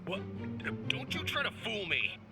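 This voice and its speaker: A man's voice: high-pitched, huge pitch swings, a little slow, vocal fry, exaggerated voice. An actor playing a frantic, desperate man pleading on the phone, stammering as he talks. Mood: confused. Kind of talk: video game cutscene voice acting. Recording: game audio with background music.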